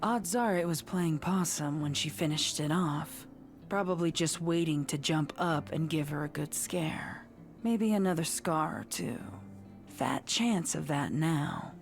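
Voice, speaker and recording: A woman reading a scripted horror story as narrator, measured and suspenseful in her dramatic delivery. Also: deep voice, creaky, faint music behind.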